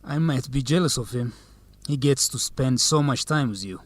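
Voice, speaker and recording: A man's voice: medium pitch, normal speed, no echo, natural voice. Charming young man played by an actor, dry sound. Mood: courting. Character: Zegai, charming young Ethiopian man courting on a date. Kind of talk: radio drama acting.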